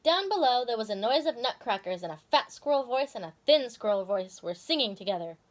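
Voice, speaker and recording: High-pitched, animated woman storyteller. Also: fairly quick. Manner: dramatic, expressive. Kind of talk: animated children's story reading.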